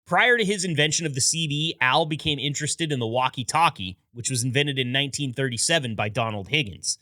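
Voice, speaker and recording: Bright, dry-voiced male podcast host. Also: medium pitch, lively intonation, fairly quick, dry recording. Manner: upbeat, storytelling delivery with wry asides. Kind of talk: scripted narration.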